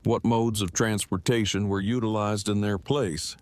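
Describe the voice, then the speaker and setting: A man's voice: lowish voice, fairly even intonation, moderate pace, natural voice. A man playing an earnest, bookish husband in an audio drama, speaking in formal, precise, wordy phrases. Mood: matter-of-fact. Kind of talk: fan audio drama acting.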